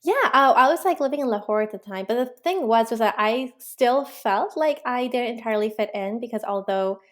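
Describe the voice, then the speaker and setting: A young woman from Pakistan, an author and illustrator, answering interview questions in a relaxed, reflective way with many ums and likes. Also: a little high, brisk. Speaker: guest, children's book author and illustrator.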